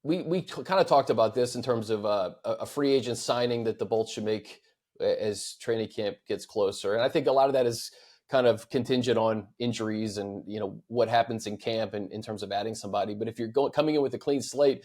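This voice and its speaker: A man's voice: fairly low pitch, fairly quick, darkish tone. A man hosting a sports talk show, speaking casually and conversationally as he thinks aloud in loose phrases. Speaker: sports show host reading fan questions.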